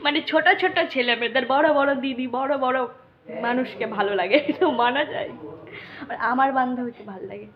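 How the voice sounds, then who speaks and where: A young adult woman, telling a story casually in a teasing, animated way and often checking that the listener follows. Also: sits high, moderate pace. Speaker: vlogger. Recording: dull lo-fi recording.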